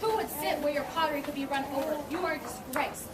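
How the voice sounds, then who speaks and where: A woman's voice: high voice, fast, close to an everyday voice. A young woman playing a bossy beggar husband in an amateur puppet show. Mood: stern. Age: young adult. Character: bossy beggar husband, secretly a king, stern. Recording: echoey room, distant mic, loud noise.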